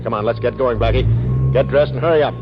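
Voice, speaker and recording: An actor playing a gruff, smug police inspector in a 1940s radio drama, brusque, sarcastic and commanding. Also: male voice, medium pitch, fairly even intonation, fairly quick, lightly acted. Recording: muffled old radio broadcast.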